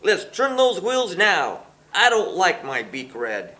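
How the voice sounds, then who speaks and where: A man's voice: sits high, wildly swooping pitch, takes it slowly, bright, forceful tone, exaggerated voice. A man voicing a sneaky, villainous beaked creature mayor in a children's storybook, in an exclaiming, gloating manner. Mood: smug commanding.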